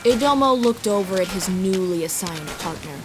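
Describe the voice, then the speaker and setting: A woman's voice: medium pitch, moderate pace, background noise. A woman reading scripted fantasy story narration as the narrator. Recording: steady rain and storm ambience behind.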